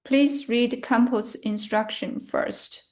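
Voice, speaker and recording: Very slow woman leading meditation. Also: on the high side, steady tone. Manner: measured, solemn, short phrases with long pauses. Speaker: guided meditation leader. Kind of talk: slow guided meditation and prayer recitation.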